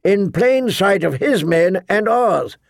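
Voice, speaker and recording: Audiobook part, male reader: bannerman knight, high voice, defiant, insistent. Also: very animated, slow pace, vocal fry, dry recording, clean studio sound, heavily acted. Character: defiant knight brothers among a lord's bannermen.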